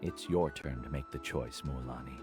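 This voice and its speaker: An actor playing a calm, wise old mentor in a video game, speaking in a measured, sage-like way. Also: male voice, very deep voice, music in the background. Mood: calm, knowing.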